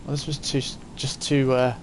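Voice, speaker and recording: A man's voice: medium pitch, little pitch movement, normal speed, a little hiss or hum, rough recording. A man in his forties giving casual live-stream commentary as he plays, chatting and thinking aloud. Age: 40s.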